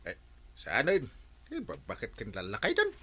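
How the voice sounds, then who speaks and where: An actor with an Ilocano accent playing a stern, exasperated village captain in a broad radio comedy drama, scolding and exclaiming. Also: male voice, medium pitch, wildly swooping pitch, moderate pace, sharp tone, slightly rough, exaggerated voice. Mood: puzzled.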